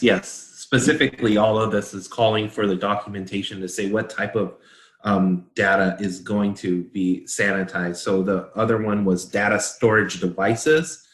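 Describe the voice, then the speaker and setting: Low-voiced man, echoey room. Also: steady tone, moderate pace, a bit bright. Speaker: consultant explaining a standard. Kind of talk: informal explanatory discussion.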